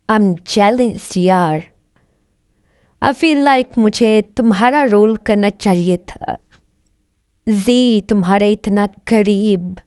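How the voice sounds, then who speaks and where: A woman playing a friendly young university student in an audio drama, with casual, drawn-out delivery. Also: mid-range voice, darkish tone, no room sound, studio quality. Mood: wistful envy. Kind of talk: audio drama roleplay dialogue.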